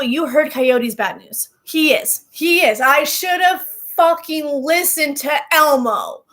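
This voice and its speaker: A young woman in her twenties telling a personal story in casual chat, animated, laughing and going off on tangents. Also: sits high. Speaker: vlogger.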